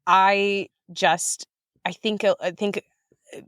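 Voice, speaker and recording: A woman guest talks through her thoughts in a relaxed interview style. Her voice is smooth and clear at a middle pitch. The sound is dry, and her phrasing is informal and reflective. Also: slow pace, no room sound. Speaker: interview guest, writer and director. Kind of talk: casual, reflective interview talk.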